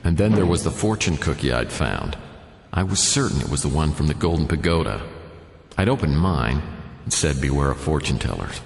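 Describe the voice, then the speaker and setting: A man's voice: a bass voice, big pitch swings, normal speed, creaky, a little hiss or hum, exaggerated voice. An actor playing a wisecracking hard-boiled male private detective, narrating with dry sarcasm. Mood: wry. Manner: dry, sardonic. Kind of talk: noir first-person radio narration. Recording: echoey with long reverb.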